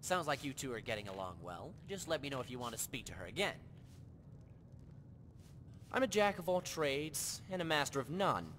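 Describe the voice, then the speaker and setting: Man as an animated, self-assured fantasy adventurer. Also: medium pitch, normal speed, long pauses. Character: theatrical adventuring male companion, self-assured jack of all trades. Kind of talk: fantasy game dialogue. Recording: long hall reverb, faint background noise.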